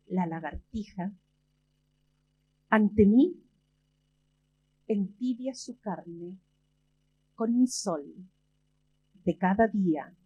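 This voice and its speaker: A woman poet from Chile, talking about her father and then reading her poems in a slow, measured way with long pauses, at a library event. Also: medium pitch, dark tone, husky, lo-fi sound. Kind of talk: slow poetry reading and talk.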